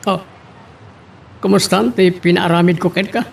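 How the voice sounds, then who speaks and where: A man's voice: high voice, level tone, normal speed, creaky, a little hiss or hum. A man playing an authoritative boss giving orders in an Ilocano-style audio drama. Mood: commanding.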